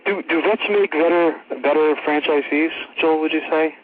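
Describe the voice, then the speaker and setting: A man's voice: a little high, steady tone, moderate pace. A man hosting a radio interview show in an enthusiastic, affirming way. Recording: tinny phone line with faint noise.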